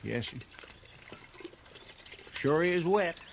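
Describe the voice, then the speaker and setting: An actor playing a loyal, worried deputy sidekick in a 1950s radio drama. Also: male voice, a little high, expressive, extremely slow, heavily acted. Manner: earnest, worried. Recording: old radio broadcast with clear hiss.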